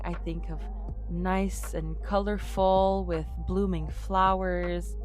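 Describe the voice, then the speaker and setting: A young woman whose first language is French, chatting in a casual, upbeat voice-over about her game build. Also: on the low side. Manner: chatty, upbeat, self-deprecating. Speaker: YouTuber narrating a game build.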